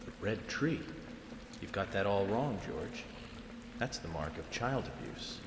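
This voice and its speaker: An actor playing a composed investigator in a video game cutscene, speaking in a measured, blunt, dryly condescending way. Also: male voice, low-pitched, expressive, heavy background noise. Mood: grave. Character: composed male investigator, coolly confronting a killer. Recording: loud music over the dialogue. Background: loud game soundtrack music.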